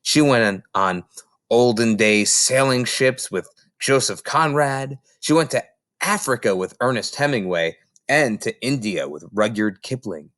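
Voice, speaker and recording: A man reading a children's novel aloud as an expressive audiobook narrator. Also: mid-range voice, unhurried, no echo, studio quality. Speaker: storybook reader.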